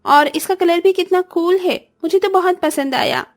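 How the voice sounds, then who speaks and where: A woman's voice: extremely high pitch, moderate pace, soft background music. A woman playing a greedy, spoiled young sister-in-law in a cartoon, wheedling with sugary, theatrical pleading. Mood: sly, sweet. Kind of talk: Hindi cartoon family-drama acting.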